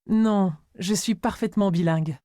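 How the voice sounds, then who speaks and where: Confident young female interviewee, played by an actress, mellow mid-pitched voice, clear scripted answers, dry sound. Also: normal speed, no echo, lightly acted. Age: young adult.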